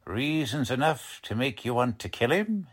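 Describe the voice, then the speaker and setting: An actor playing a gruff, bossy, uneducated police lieutenant with blustery, ungrammatical speech in a 1940s-style radio drama. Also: male voice, mid-range voice, sing-song, moderate pace. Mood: pressing, sardonic.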